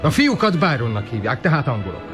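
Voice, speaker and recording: A man's voice: mid-range voice, sing-song, over loud music, close to an everyday voice. An actor playing a blustering, indignant, stubborn boy in a Hungarian radio play, blunt, slangy and combative. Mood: stubborn, puzzled. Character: blustering, indignant, stubborn boy named Gusztáv, motorbike lover.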